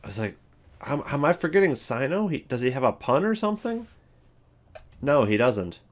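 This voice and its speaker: A man giving casual, opinionated video commentary on game voice lines, thinking aloud in halting, rambling sentences. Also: medium pitch, big pitch swings. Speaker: video host.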